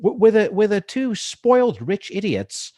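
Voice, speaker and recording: A man's voice: high voice, very animated, measured pace, dark tone, no room sound, studio quality. A man hosting a podcast, talking bluntly and profanely with many restarts. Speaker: podcast host. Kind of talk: casual chat.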